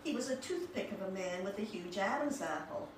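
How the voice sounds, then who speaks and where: A woman talking informally to a group and reading book passages aloud, with halting, admiring asides. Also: medium pitch, expressive. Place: echoey room with a small group present. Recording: echoey room, distant mic, clear background noise.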